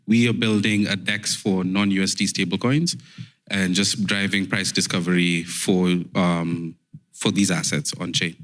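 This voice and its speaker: A man's voice: deep voice, fairly even intonation, unhurried, fairly bright tone. A man introducing himself on a conference panel, dry and a little joking.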